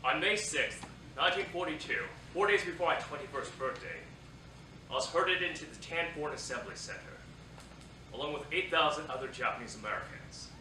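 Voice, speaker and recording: A man's voice: a little high, animated, fairly quick, bright, forceful tone. A man playing a proud, bitter young Japanese American Second World War soldier in a staged reading, emphatic and declamatory. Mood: solemn. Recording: roomy, distant theatre recording, faint noise.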